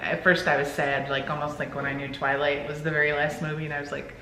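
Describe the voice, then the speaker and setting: A middle-aged woman talks films in an informal back-and-forth. Her voice is bright and sharp. It is picked up in an echoey room with a little background noise. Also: deep voice, little pitch movement, quick pace. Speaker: movie reviewer, co-host. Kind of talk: casual movie review chat.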